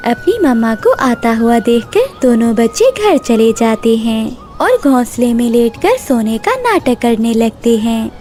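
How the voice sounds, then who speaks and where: A woman's voice: a little high, very animated, unhurried, few pauses, mellow, faint music behind. A woman narrating a scripted children's cartoon story as its storyteller.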